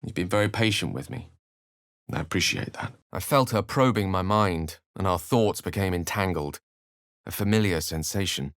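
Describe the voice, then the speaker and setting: A man's voice: low-pitched, normal speed, a bit bright, dry recording, studio quality. A man playing a refined, erudite wizard in a fantasy video game, speaking in an articulate, theatrical storyteller's manner. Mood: conversational, earnest. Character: refined, erudite male human wizard, eloquent and wry.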